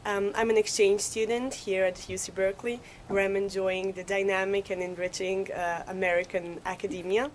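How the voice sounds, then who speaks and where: A woman's voice: mid-range voice, little pitch movement, moderate pace, few pauses, slight background noise, rough recording. A woman master's student from Croatia giving a polished self-introduction.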